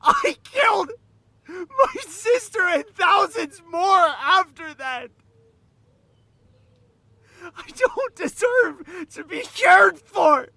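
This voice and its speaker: An anguished young man wracked with guilt in an audio drama. He swings from raw outbursts to hushed confession, with a creaky edge and a widely moving pitch. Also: expressive, very slow, pauses often, heavily acted. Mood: anguished rage. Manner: emotional, swinging from shouting to hushed. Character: anguished guilt-ridden young man, self-loathing killer.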